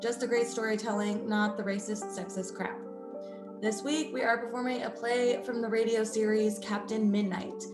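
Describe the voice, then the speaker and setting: A woman's voice: a little high, steady tone, normal speed, echoey room, music in the background. A woman hosting a podcast, reading a scripted introduction. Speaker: podcast host.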